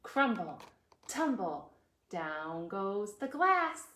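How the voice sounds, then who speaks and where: A woman's voice: mid-range voice, very animated, extremely slow, sharp tone, a little hiss or hum. A woman hosting a preschool storytime, reading a picture book aloud in a sing-song way and putting questions to young listeners. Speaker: children's librarian, storytime host. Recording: roomy, echoey indoor sound.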